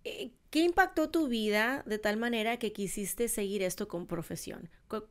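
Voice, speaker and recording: Smooth-voiced woman podcast host. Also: on the low side. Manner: warm backchannels, halting restarts. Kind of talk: interview questions.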